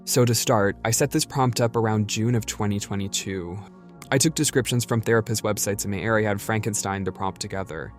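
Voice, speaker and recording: A man's voice: fairly low pitch, brisk. A man reading a written message aloud in a plain, explanatory way. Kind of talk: scripted reading of an email. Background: music.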